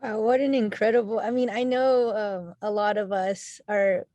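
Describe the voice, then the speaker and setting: A woman's voice: mid-range voice, steady tone, normal speed, warm tone, no echo. A woman moderating a session in a casual, effusive, admiring way on a video call. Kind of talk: casual chat.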